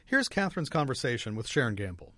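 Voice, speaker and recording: A man's voice: mid-range voice, big pitch swings, fast talker, pushed and bright, no room sound. A man reading a scripted introduction to an interview segment as a radio announcer. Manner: clear announcing read.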